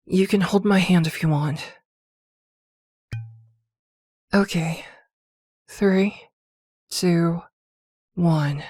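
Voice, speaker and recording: A possessive witch girlfriend, acted by a woman in a fantasy audio roleplay. She speaks slowly in a lowish voice, irritable yet protective, mixing sharp scolding with tender care. The sound is dry and close. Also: slightly rough, no room sound. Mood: caring, firm. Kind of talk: ASMR roleplay acting.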